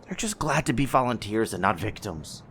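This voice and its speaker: A man acts out an obliging dealer in human blood for an ASMR audio drama. His low voice is gravelly and swings widely in pitch as he gives his folksy, businesslike patter. Faint background noise sits behind him. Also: big pitch swings, moderate pace, a bit bright. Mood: salesmanlike. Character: deferential, businesslike male trader in human blood volunteers. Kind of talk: ASMR audio roleplay acting.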